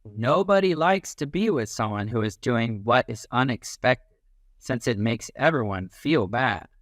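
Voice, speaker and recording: Male teacher-narrator for kids' educational picture book: lively melody, instructive delivery, close dry sound. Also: medium pitch, measured pace, no echo. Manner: animated, instructive, acts out little sounds and quotes. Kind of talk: expressive children's read-aloud.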